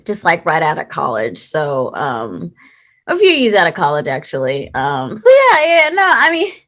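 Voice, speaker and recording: A woman in her late twenties from Texas, an actor being interviewed, talking in a chatty, lively way and laughing often. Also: low voice, normal speed. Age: late 20s. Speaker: actor guest. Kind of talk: casual interview chat.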